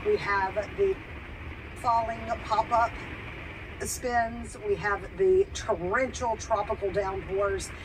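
A woman's voice: medium pitch, fairly even intonation, slow pace. Tv weather lady warning about a storm, sound taken off a tv with hiss behind. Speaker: TV weather forecaster. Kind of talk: broadcast weather report. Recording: TV audio recorded off a set.

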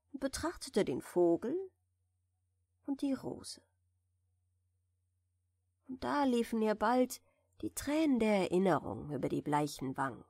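Slow, gentle woman reading a bedtime tale. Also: mid-range voice, dry recording, studio quality. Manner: soft, soothing, measured. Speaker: audiobook reader of fairy tales.

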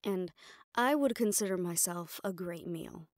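A woman's voice: on the low side, measured pace, darkish tone, no echo, clean studio sound, lightly acted. A woman playing a composed, protective assistant to a mafia boss in an intimate audio roleplay, speaking firmly and reassuringly straight to the listener. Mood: concerned, wry. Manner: firm, reassuring, addressing the listener directly.

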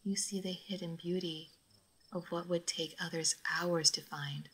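A woman playing a gentle, melancholy old vampire lady in an intimate roleplay audio drama, speaking softly and formally. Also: on the low side, fairly even intonation, unhurried, breathy edge, poor audio. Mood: wistful, reflective. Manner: soft, formal, wistful, sometimes near whispering.

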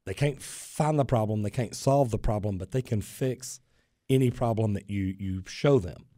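A man's voice: lowish voice, moderate pace, no echo, studio quality. A man, telling stories as a plumber guest on a radio talk show. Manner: animated storyteller, gestures while talking. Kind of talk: casual radio chat and storytelling. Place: radio studio, indoors. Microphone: studio boom mic with headphones.

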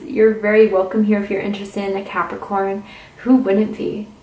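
A woman giving a casual tarot reading to camera at a table, chatty and intuitive, with drawn-out "ooh"s and "mm"s. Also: medium pitch, darkish tone. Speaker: tarot reader. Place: indoors at a tarot table with crystals and candles. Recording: roomy, distant camera mic with faint hiss.

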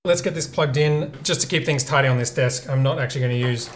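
A man's voice: mid-range voice, quick pace, over loud music. A man in his thirties with an Australian accent, talking casually through a tech review as a vlogger. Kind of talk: casual unboxing and review narration.

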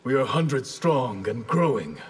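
An actor playing a stern, honorable ninja grandmaster in a video game, speaking in a terse, resolute, formal way. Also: male voice, medium pitch, expressive, a little slow, breathy edge, soft background music. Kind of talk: video game character acting.

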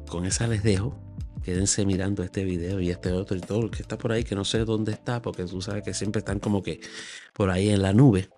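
An older Puerto Rican man delivers earnest, scolding commentary, his voice on the low side. He speaks close into a studio mic, gesturing as he talks. Faint music plays softly behind him. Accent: Puerto Rican Spanish. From Puerto Rico. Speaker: YouTube commentator. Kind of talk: opinionated solo commentary. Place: small room at home, indoors. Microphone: large studio mic on a boom, close up.

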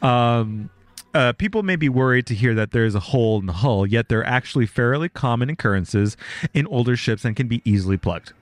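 A man telling stories on a podcast, with a lively voice that swings up and down as he goes. He laughs a lot and swears without a second thought. The sound is close and dry. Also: medium pitch, no room sound. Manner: laughs often, swears freely, animated storytelling. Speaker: podcast co-host. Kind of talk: casual chat, reading out stories.

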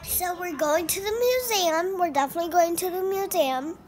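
A little girl co-hosting a casual vlog, speaking slowly and haltingly on a phone camera. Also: very high voice. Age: child. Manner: slow, halting, restarts words. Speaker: vlog co-host.

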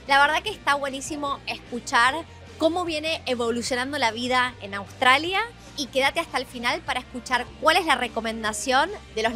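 A woman's voice: sits high, sharp tone. A woman, hosting upbeat street interviews at a festival on a handheld mic. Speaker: interviewer and host. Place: festival backdrop, outdoors. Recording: music in the background.

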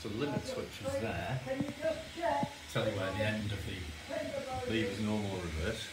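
A man giving a signal box tour, calmly explaining how the equipment works, recorded on a handheld phone camera. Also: lowish voice, normal speed. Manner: plain, matter-of-fact, pointing things out. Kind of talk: technical explanation on a walkthrough. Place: inside a railway signal box. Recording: echoey room with loud background noise.

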